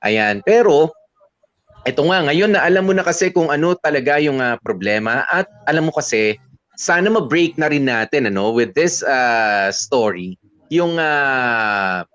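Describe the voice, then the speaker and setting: Man, Filipino accent, mid-pitched, halting casual advice talk, mixes two languages, dry close recording, dull audio. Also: animated, a bit bright, no echo. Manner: halting, conversational, code-switching between two languages. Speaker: radio show co-host.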